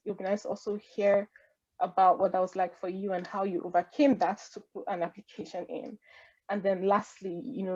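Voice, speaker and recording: Mellow-voiced woman moderating an online panel. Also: mid-range voice. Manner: easygoing, many fillers, rambling questions. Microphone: video call. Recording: dull lo-fi online call audio.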